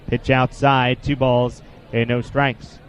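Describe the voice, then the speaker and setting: A man's voice: mid-range voice, little pitch movement, takes it slowly. A man in his twenties with an American accent, doing live play-by-play commentary as a sports announcer. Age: 20s. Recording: loud noise behind the voice, live sports venue. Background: arena or ballpark ambience.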